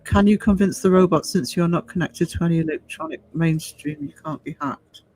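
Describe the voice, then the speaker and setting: Higher-voiced man in his fifties, thoughtfully hesitant. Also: a little fast, darkish tone, poor audio. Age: 50s. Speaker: livestream host reading viewer comments. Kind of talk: casual discussion.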